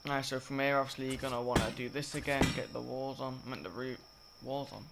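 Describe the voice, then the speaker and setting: Rambly lad doing a game tutorial, croaky sick throat, kinda gravelly. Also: male voice, mid-range voice, fairly even intonation, fast. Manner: rambling, self-correcting, filler-heavy. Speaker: gaming tutorial creator. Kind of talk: casual gameplay tutorial commentary. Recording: loud game noise behind. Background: game sound effects and clicks.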